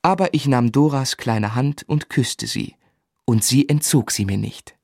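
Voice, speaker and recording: A man playing an ardent young gentleman who narrates and acts his own story in a radio drama, earnest and expressive. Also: mid-range voice, a little slow, gravelly, slightly rough, dry recording, studio quality. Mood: rapturous. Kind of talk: literary first-person narration and German radio drama acting.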